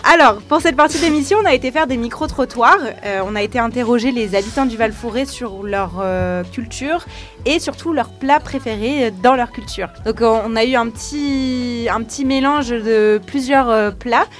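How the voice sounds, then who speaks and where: A woman hosting a local radio show, introducing a segment in a relaxed, chatty way with small fillers. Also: fairly high pitch, normal speed, soft background music. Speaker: radio host. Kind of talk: casual radio chat.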